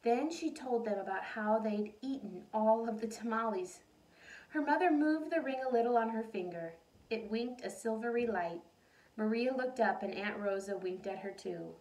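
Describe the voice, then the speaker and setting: A woman reading a storybook aloud to children in an expressive, animated way. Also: on the high side, normal speed. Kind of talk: expressive storybook read-aloud with questions to listeners. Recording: roomy echo, distant mic.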